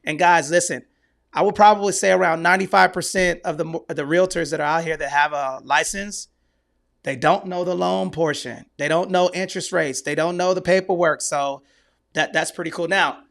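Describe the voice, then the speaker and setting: Gravelly-voiced male podcast host. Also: a little high, normal speed, a bit bright. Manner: enthusiastic, speaks to listeners as guys. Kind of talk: podcast interview.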